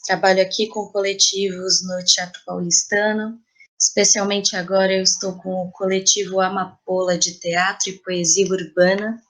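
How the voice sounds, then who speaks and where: A woman's voice: medium pitch, fairly bright tone, low-quality recording. A woman with a Brazilian accent speaking as an interview guest, explaining things in a conversational, reflective way. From São Paulo, Brazil. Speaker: podcast guest, playwright, actress and teacher.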